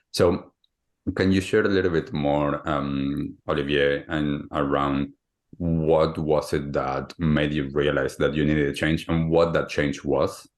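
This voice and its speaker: A man from Argentina, hosting a podcast interview and often repeating words as he searches for phrasing. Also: very deep voice. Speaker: podcast host.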